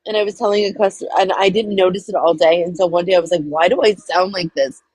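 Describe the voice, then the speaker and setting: A woman co-hosting a casual livestream, hoarse and raspy from a day on the phone, telling relaxed stories. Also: fairly low pitch, fast talker, no echo, rough recording. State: hoarse from talking on the phone at work. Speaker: livestream co-host.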